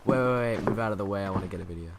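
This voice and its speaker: A man's voice: sits low, rapid-fire, very noisy. A young man playing a cocky university prankster in a naturalistic radio drama, casual and gloating. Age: young adult. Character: cocky eighteen-year-old university student prankster.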